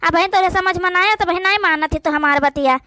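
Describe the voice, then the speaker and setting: A woman's voice: very high voice, quick pace, bright, forceful tone, no room sound, clean studio sound. A woman with an Awadhi accent voicing a meddling, scheming young sister-in-law in a village cartoon, gossipy and insistent.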